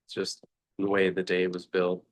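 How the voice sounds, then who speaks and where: A man giving a guided meditation talk as a teacher, speaking contemplatively in short phrases with long pauses between them. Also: sits low, monotone.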